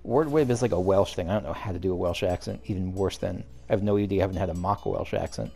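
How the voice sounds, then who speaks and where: A man's voice: sits low, quick pace, vocal fry, warm tone. A man casually commenting while playing a game, rambling and cutting himself off as he thinks aloud. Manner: rambling, self-interrupting, musing aloud. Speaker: let's play host.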